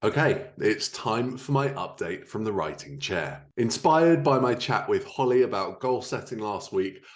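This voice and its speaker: A man speaking as a podcast host, reading prepared updates and introductions for an audience of writers. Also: on the low side. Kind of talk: scripted podcast narration and introduction.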